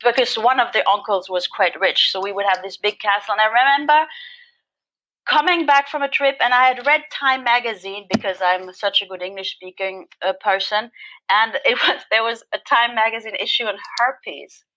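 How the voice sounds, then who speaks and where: Loud, bright German woman telling her story. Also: fairly high pitch, moderate pace, low-quality recording. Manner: animated, laughing, self-mocking. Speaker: guest sharing recovery story. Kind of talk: personal storytelling interview.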